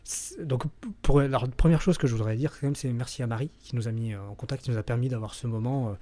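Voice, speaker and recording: Mellow-voiced podcast host, halting speech. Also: male voice, medium pitch. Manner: halting, many fillers and restarts. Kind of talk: casual interview questions.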